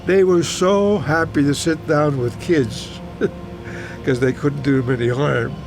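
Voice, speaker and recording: A man in his eighties from Hungary, a native German speaker, recalls his life in a halting, repetitive, plain-spoken way, as in an oral history interview. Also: a little high, steady tone, creaky. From Hungary, German-speaking household. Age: 80s. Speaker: retired teacher recounting his life. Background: music under the voice.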